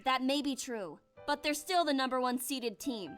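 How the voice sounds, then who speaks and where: Woman, game voice role, calm, high pitch, music behind. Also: normal speed. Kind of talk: voice acting in a game.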